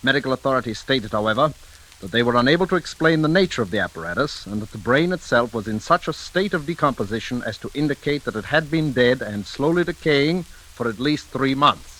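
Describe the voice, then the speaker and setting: Forceful, bright-voiced newsman played by a man. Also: medium pitch, expressive, brisk, natural voice, poor audio. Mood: matter-of-fact. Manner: formal, clipped, matter-of-fact. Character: brisk, impersonal male newsman reading a grim report. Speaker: news reporter in a radio play. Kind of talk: 1940s radio news bulletin.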